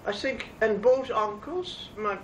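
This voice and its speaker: Older Dutch woman reminiscing haltingly. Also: on the high side, lively intonation, roomy sound. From Netherlands. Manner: halting, many pauses and fillers. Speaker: oral history interviewee. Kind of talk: reminiscing conversation. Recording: distant mic, faint noise.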